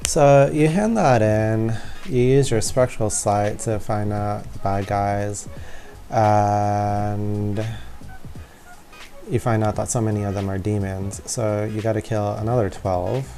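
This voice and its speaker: A man with a low, creaky voice gives casual game walkthrough commentary. He talks very slowly, with faint music behind him. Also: steady tone, warm tone. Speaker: gaming video host.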